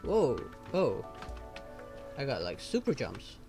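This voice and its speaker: A man's voice: medium pitch, huge pitch swings, slow pace. A man giving casual gameplay commentary as he streams a video game. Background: game music.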